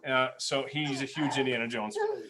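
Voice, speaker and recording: A man's voice: mid-range voice, normal speed, very noisy. A man in his forties telling stories casually as a podcast host, animatedly acting out remembered dialogue. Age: 40s. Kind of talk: casual reminiscing storytelling.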